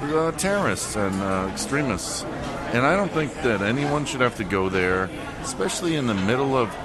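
A man's voice: mid-range voice, very animated, moderate pace. A man chatting as a podcast guest in a busy restaurant and bar, ranting in mock anger and swearing. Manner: mock-angry, profane ranting. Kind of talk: casual chat. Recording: loud bar music and crowd noise.

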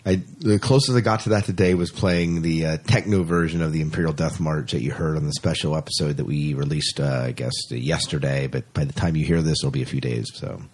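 A man's voice: extremely low pitch, steady tone, a little fast, hardly any pauses, darkish tone, husky. A man chatting casually about science fiction as a podcast co-host, often repeating phrases for emphasis.

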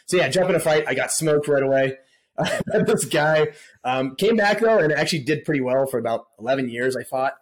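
Middle-aged man, podcast guest, casual storyteller, medium pitch, laid-back slang. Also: animated, brisk.

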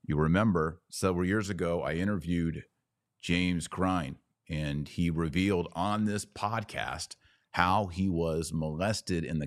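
A man's voice: low voice, no room sound, clean studio sound. A man hosting a podcast, giving opinionated news commentary in an indignant, emphatic way with short, punchy repeated phrases. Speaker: podcast host.

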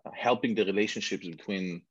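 Israeli man in his forties, husky and a touch low, dry close sound, rambling self-mocking storyteller. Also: brisk, no room sound. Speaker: guest entrepreneur. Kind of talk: casual interview chat. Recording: dull muffled lo-fi sound.

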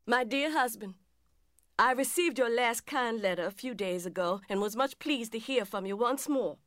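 Woman acting troubled enslaved wife and mother, plaintive, weary, higher-pitched, close dry sound, stage drama letter reading. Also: moderate pace, fairly bright tone, dry recording, natural voice. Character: distressed enslaved mother writing to her soldier husband.

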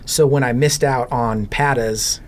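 A man's voice: medium pitch, fairly even intonation, unhurried, slight background noise. A man speaking as a podcast guest in an informal, conversational chat. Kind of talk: casual chat. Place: podcast studio.

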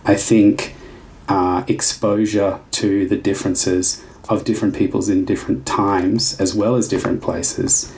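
A man with a deep, steady, fairly monotone voice talks things through slowly and carefully. His sentences wind on as he searches for the right words, and a faint noise sits under the recording. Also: low-quality recording. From Australia. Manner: reflective, searching for words, long winding sentences. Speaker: dance teacher. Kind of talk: thoughtful casual conversation.

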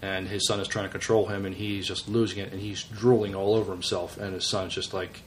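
A man co-hosting a podcast, chatting casually and haltingly, often repeating words as he searches for phrasing. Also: sits low, level tone, slight background noise. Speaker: podcast co-host. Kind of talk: casual chat reviewing a TV series.

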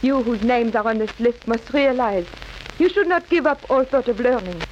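An actress plays a gentle, dutiful schoolteacher in a 1940s radio drama. Her voice is high and she speaks carefully and formally. The recording is muffled, with vintage hiss. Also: lively intonation, moderate pace, lightly acted. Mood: subdued, earnest. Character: dutiful, gentle schoolteacher woman under occupation.

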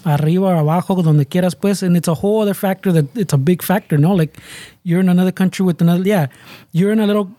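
A quick-talking man with a high but mellow voice, recorded dry. He jokes and swears in casual chat, sprinkling Spanish words through his English. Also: no room sound.

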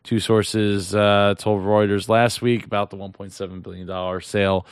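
A man's voice: fairly low pitch, little pitch movement, moderate pace, clear, resonant tone, no room sound, studio quality. A man hosting a business news show, reading headlines and commenting with many restarts while losing his voice. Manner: rambling, many restarts and fillers. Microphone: close mic.

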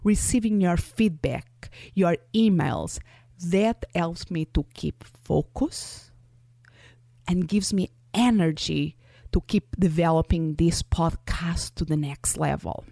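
Slow, mellow Portuguese-accented woman. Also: lowish voice, gravelly, no room sound. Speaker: podcast host. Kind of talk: scripted solo podcast monologue.

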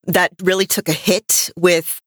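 Slow-paced, thoughtful woman podcaster. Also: fairly low pitch, little pitch movement, no echo, clean studio sound. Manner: thoughtful, halting phrasing. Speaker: podcast co-host. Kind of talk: reflective casual review discussion.

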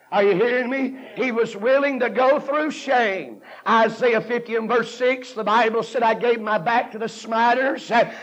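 A man preaches hellfire. His voice sits extremely high for a man. He exhorts urgently and fervently, hammering short phrases and repeating them. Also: highs cut off. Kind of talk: fire-and-brimstone evangelistic sermon.

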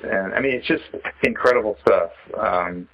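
A man in a casual phone conversation, talking in a halting, reflective way as he searches for the right words. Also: fairly low pitch, fairly bright tone, breathy edge. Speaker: interviewee, sculptor. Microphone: telephone. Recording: dull, band-limited phone call.

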